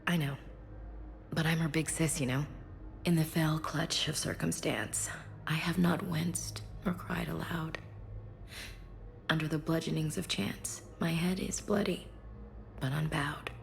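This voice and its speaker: Sci-fi game soldier, female, low-pitched and gravelly, guarded in her grief, faint noise behind. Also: fairly even intonation, measured pace, long pauses. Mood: hushed, solemn. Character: grieving, guarded soldier and protective big sister. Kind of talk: naturalistic game dialogue acting.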